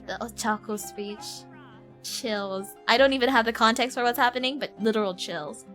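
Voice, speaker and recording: A woman streamer talks casually over her game. Her voice is somewhat high, with a playful, expressive delivery. Faint game music runs behind her. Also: normal speed. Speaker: live streamer.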